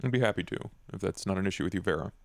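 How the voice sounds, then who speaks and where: A man playing a courteous, calculating vampire envoy in a tabletop game, with a gravelly voice and measured, formal delivery. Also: low voice, no room sound.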